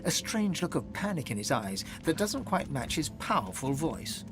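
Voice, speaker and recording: A man narrating a dark fantasy video game as an expressive, dramatic storyteller. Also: on the high side, moderate pace, music in the background. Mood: hushed intrigue.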